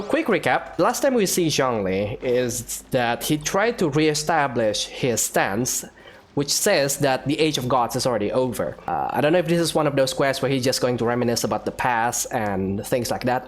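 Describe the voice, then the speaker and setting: Casual male gamer commentating over music. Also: mid-range voice. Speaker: gaming streamer. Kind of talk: casual let's play commentary.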